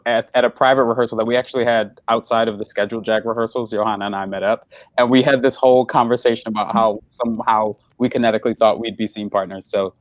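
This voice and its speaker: A man's voice: medium pitch, fairly even intonation, quick pace, no echo. A man speaking as an actor on a panel, earnest and thoughtful, building his ideas gradually. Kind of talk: reflective panel conversation. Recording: dull, slightly lo-fi online audio.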